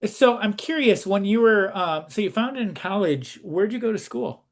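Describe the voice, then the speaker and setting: Higher-voiced man, casual interviewer. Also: expressive, normal speed.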